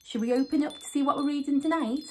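A woman's voice: high-pitched, fast talker, a little mellow, slight background noise. A woman in her thirties hosting storytime, reading aloud to young children in a sing-song way.

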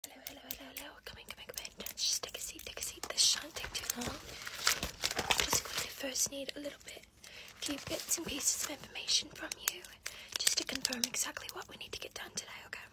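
A woman in her twenties whispers gently and reassuringly, giving soft instructions in an ASMR roleplay close to the mic. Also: high-pitched. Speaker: ASMR creator in a costume fitting roleplay.

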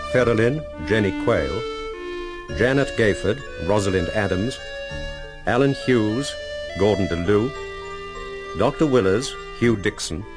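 Male announcer, lowish voice, formal, measured, scripted radio delivery, music underneath.

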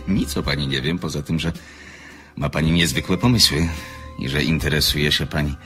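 An actor playing a courteous, amused divorced businessman in an audio drama, flirting gently in a very deep, gravelly voice. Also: normal speed, over music. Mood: teasing, calm. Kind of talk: Polish audio drama dialogue.

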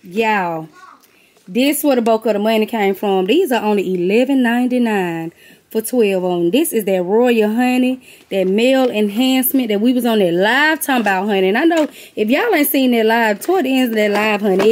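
A woman's voice: mid-range voice, normal speed. A middle-aged woman chatting casually to viewers as she shows off products she bought, calling them y'all and honey, filmed on a handheld phone indoors. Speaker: vlogger and gift basket maker. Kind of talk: casual haul vlog. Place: warehouse-style room with boxes, indoors. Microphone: handheld phone camera.

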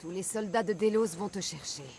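A woman's voice: mid-range voice, fairly quick, darkish tone, slight background noise, natural voice. An actress playing a tough, wry female mercenary hunter in a French game dub, dry and blunt. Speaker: video game voice actor.

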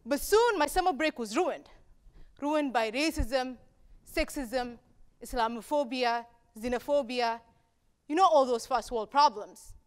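Young woman from Somalia, high voice, stage speech, repeats phrases, deliberate pauses. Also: smooth. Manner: rhetorical repetition, deliberate pauses. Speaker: speaker at a campus talk event. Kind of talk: prepared public speech. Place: dark stage in an auditorium.

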